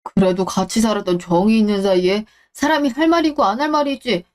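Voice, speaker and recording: A woman's voice: medium pitch, fast talker, darkish tone, lightly acted. A woman voicing a smug, shameless middle-aged ex-husband in a radio drama, blustering and talking down to people. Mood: indignant, blustering. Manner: blustering, condescending, coarse. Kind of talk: Korean radio-drama story acting.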